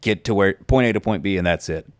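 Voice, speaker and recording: Guy hosting a podcast, talks real fast, lowish voice, chatty storyteller. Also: clear, resonant tone, dry recording, clean studio sound. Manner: animated storytelling with fillers. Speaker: podcast host. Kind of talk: casual chat.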